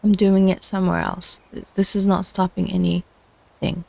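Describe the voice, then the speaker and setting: Woman, losing her voice, hesitant, lots of ums, lowish pitch, dry mic. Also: little pitch movement, moderate pace, vocal fry, no room sound. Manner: hesitant, many fillers and restarts, talks to herself. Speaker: livestream host learning machine learning. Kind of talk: casual screen-share livestream, thinking aloud.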